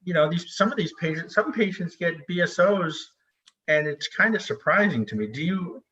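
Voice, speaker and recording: A middle-aged man hosting a casual medical discussion, asking informal, halting questions over a muffled video call. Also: a little high, lively intonation, bright, forceful tone. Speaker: host, gynecologic surgeon. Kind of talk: casual interviewing.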